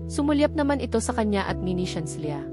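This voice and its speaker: A woman's voice: medium pitch, sing-song, brisk, music in the background. A woman with a Filipino accent reading a scripted romance novel as an audiobook narrator.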